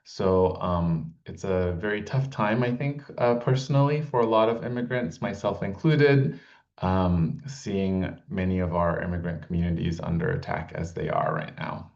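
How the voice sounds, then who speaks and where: A man speaking as a guest attorney, measured and hesitant, with frequent "um"s and "uh"s. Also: low voice, very animated, gravelly, darkish tone, lo-fi sound. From Malaysia. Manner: measured, hesitant, many fillers.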